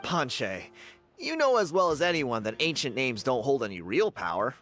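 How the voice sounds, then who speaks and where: An easygoing young guy from a video game. He is casual and teasing, mid-pitched, with a very lively melody to his speech. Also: moderate pace, faint music behind. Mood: skeptical, teasing. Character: easygoing, teasing, skeptical young male friend.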